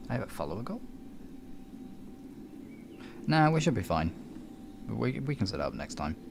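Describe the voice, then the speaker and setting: A man's voice: lowish voice, big pitch swings, moderate pace, creaky, noisy background. A man chatting casually and distractedly to his stream viewers in muttered, broken-up phrases while he sets things up. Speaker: live streamer, host.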